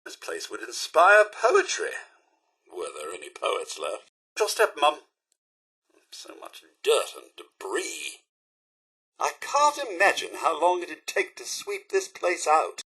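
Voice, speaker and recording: Video game robot butler: British, refined, easily dismayed, formal and deferential; highish pitch, bright, forceful edge. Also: male voice, slow pace, husky, heavily acted. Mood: polite, fussy. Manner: formal, deferential, theatrically expressive. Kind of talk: video game companion voice lines.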